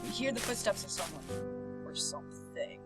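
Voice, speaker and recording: A woman hosting a game playthrough, reading visual novel text aloud with animated, casual reactions and stumbling over words now and then. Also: mid-range voice, normal speed. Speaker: host of a video game playthrough. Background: game background music.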